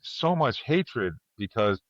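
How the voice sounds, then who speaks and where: A man hosting a casual panel chat, talking in a halting, opinionated, conversational way. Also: mid-range voice, very animated, fairly bright tone, no room sound, lo-fi sound. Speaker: podcast host.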